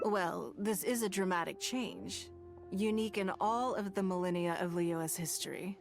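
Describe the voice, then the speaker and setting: An actor, a woman, playing a poised, gracious and shrewd noblewoman in a video game, composed and measured. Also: mid-range voice, creaky, faint music behind, close to an everyday voice. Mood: fond, gracious.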